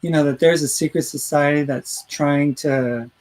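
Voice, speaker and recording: A man's voice: on the high side, steady tone, normal speed, a little mellow. A man hosting a talk radio show in casual chat, rambling through his points and reading listener comments aloud. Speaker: host.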